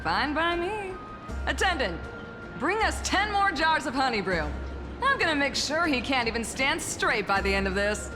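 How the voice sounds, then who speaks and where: A woman's voice: sits high, very animated, moderate pace. A woman voicing a brash, hard-drinking female soldier in a video game, cocky and teasing. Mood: cocky challenge. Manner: cocky, challenging. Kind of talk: anime-style video game voice acting. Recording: long reverb, music behind.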